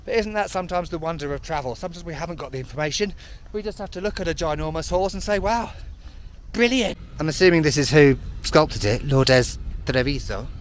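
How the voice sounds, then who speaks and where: A man in his thirties, a travel vlogger talking to a handheld camera outdoors on town streets, chatty and excitable with playful asides. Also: fairly high pitch, a little fast, a little hiss or hum. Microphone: handheld camera at arm's length.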